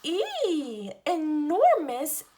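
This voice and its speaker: Female children's storyteller, higher voice, animated intonation, deliberate phrasing with pauses for an alphabet picture book. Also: a little hiss or hum. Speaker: read-aloud storyteller for children. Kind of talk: picture book read-aloud, teaching the alphabet.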